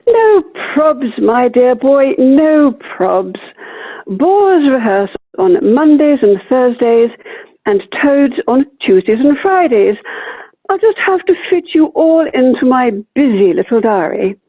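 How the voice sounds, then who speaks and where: Woman playing self-important drama society diva, high-pitched, theatrical, gushing, radio comedy, tinny call audio. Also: sing-song, heavily acted. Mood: breezy, grand. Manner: theatrical, grandly drawn-out delivery. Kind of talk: comic radio play acting. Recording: tinny narrow-band video call audio.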